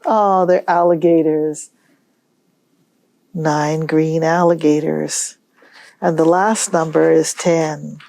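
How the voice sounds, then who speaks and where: A woman hosting a storytime for young children, reading aloud in a gentle, musing way and pausing to pose questions. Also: low-pitched, fairly even intonation, gravelly, no room sound. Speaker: children's library storytime host.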